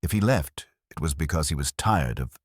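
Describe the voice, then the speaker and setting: A man's voice: a bass voice, lively intonation, moderate pace, breathy edge, no echo, studio quality, full character voice. A man voicing a slick, smug male media provocateur in a mystery story, glib and defiant.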